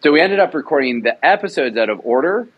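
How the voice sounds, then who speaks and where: A man, a radio drama producer, explaining the logistics calmly with frequent fillers. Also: a little high, no room sound, phone-quality sound. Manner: measured explanation with many fillers. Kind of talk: interview conversation.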